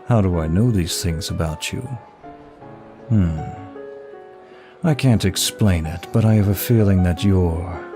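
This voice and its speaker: A man playing a suave, seductive demon of lust in an intimate audio roleplay, flattering the listener in a formal, flirtatious manner. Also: deep voice. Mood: charming, admiring. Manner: smooth, flirtatious, formal, unhurried. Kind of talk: intimate ASMR-style roleplay, one side of a dialogue. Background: music.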